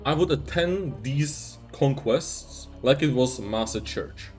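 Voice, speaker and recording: A man's voice: medium pitch, animated, soft background music. Earnest young man. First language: Czech. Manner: measured, firm, earnest. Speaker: YouTuber. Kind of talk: scripted commentary.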